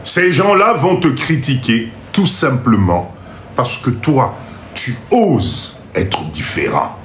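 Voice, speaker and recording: A man's voice: medium pitch, wildly swooping pitch, takes it slowly, a bit bright. A man with a Congolese French accent and a blocked nose from a cold, hosting a motivational live stream in an emphatic, repetitive, urging way. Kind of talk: motivational live talk to viewers. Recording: roomy echo, faint background noise.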